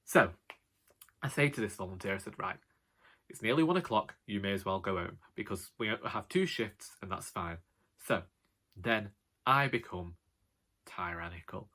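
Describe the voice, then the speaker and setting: A British man with a loud voice rambling casually to camera in a wry, sarcastic way, like a vlogger. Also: fairly low pitch, animated, moderate pace, pauses often. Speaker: vlogger and author.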